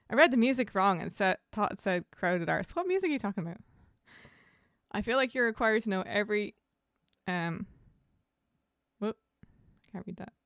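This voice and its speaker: A woman hosting a casual livestream interview, chatty and animated, with frequent laughs and fillers. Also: mid-range voice, clean tone, dry recording, studio quality.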